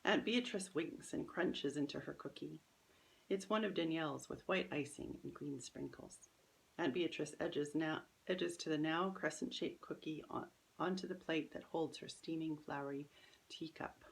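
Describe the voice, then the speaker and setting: Low, creaky-voiced woman reading a story. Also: measured pace, rough recording. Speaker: author reading their own children's story. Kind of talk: scripted storybook narration in first person.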